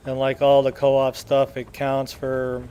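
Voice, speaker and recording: Flat-toned man doing game commentary. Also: medium pitch, smooth, lo-fi sound. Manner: rambling, halting, matter-of-fact. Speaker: gameplay video commentator. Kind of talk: casual game walkthrough commentary. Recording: faint game engine noise behind.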